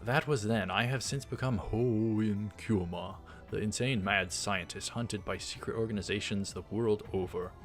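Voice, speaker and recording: A man's voice: fairly low pitch, moderate pace, few pauses, soft background music, lightly acted. A man voicing a theatrical, self-important young mad scientist from a visual novel. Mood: grandiose.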